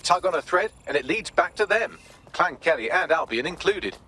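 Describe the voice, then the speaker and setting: A man's voice: fairly high pitch, expressive, normal speed, sharp tone, husky, heavily acted. A man voicing a sardonic AI assistant in a video game, briefing in an articulate, dryly sarcastic way. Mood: wry. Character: wry, sardonic male AI assistant. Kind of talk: scripted game dialogue, briefing.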